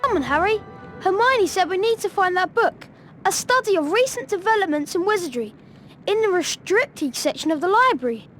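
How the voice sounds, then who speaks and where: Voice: very high voice, animated, moderate pace, fairly bright tone, soft background music. A performer playing an earnest, curious young British schoolboy wizard in a video game. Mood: urging. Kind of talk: video game cutscene acting.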